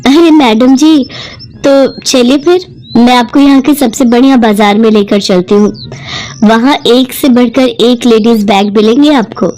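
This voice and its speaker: A woman plays a poor young working woman from a cartoon moral tale. She speaks earnestly and respectfully in a fairly high, soft-edged mellow voice, with music underneath. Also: unhurried, natural voice. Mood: eager, respectful. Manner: deferential, earnest. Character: poor, humble, hardworking young hotel worker woman, earnest and wistful. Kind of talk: Hindi moral-story cartoon acting.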